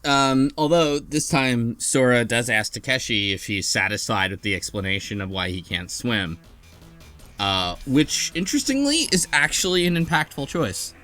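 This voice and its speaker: A man with a medium-pitched voice speaks as a podcast co-host. His delivery is conversational and a little halting. Soft music sits faintly behind him. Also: animated, no room sound. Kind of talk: casual discussion.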